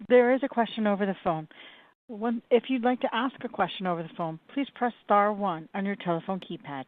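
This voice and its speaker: A woman's voice: a little fast, no echo. A woman working as a conference call operator, reading scripted announcements. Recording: narrow phone line, low level.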